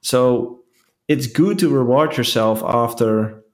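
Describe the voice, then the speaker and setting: A man's voice: medium pitch, slow pace. A man talking earnestly in a casual podcast chat, often restarting and repeating phrases. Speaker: podcast co-host.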